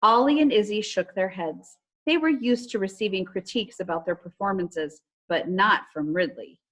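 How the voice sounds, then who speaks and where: A woman reading a children's story aloud like a teacher to a class, with animated dialogue and calmer narration, over a video call. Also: medium pitch, moderate pace.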